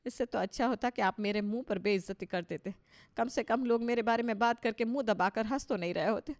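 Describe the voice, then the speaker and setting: A woman's voice: a little high, a little fast, voice pushed up, natural voice. Woman acting bossy, hot-tempered mother-in-law, raised voice. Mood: indignant. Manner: scolding, emphatic.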